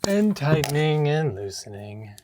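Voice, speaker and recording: A man's voice: a little high, big pitch swings, unhurried, darkish tone, a little hiss or hum. A man narrating a hands-on vlog casually, thinking aloud and talking to himself with short exclamations. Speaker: home theater DIY vlogger. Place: indoor home theater room with drop ceiling.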